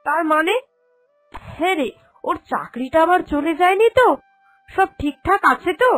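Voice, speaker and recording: A woman's voice: extremely high pitch, animated, normal speed, a bit bright, soft background music. A woman voicing an anxious, doting mother-in-law in a cartoon drama, with a plaintive, fretful manner. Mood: fussing, anxious. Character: anxious, doting mother-in-law fretting over her son. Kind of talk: Bengali cartoon drama dubbing.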